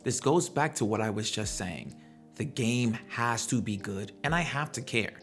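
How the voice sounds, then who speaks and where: A man's voice: mid-range voice, lively intonation, normal speed, a bit bright, faint music behind. A man hosting a gaming commentary video, talking in a conversational, slangy way and throwing out rhetorical questions. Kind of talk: casual opinionated video commentary.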